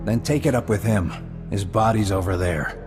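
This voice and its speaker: Guy playing a stern bitter officer, lowish voice, curt, music loud behind. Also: normal speed, natural voice. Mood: cold, curt. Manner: curt, accusing, cold. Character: bitter, stern male intelligence officer taking command. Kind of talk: video game cutscene acting.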